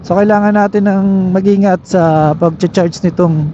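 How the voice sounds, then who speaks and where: A man's voice: high voice, steady tone, unhurried, warm tone. A man with a Filipino accent casually reviewing gear as a motovlogger, explaining things and often checking in with tag questions, recorded through a helmet intercom while riding. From Philippines. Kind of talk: casual product review to camera. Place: on a moving motorcycle, inside a helmet. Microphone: helmet intercom microphone. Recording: dull helmet intercom audio with riding noise. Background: faint vehicle noise.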